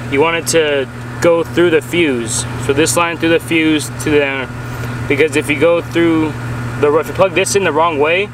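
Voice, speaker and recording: A man's voice: fairly high pitch, steady tone, moderate pace. A man giving casual hands-on tutorial talk, explaining step by step with informal caveats. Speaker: friend demonstrating a CB radio install. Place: in and around a parked pickup truck. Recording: distant mic, clear noisy vehicle background.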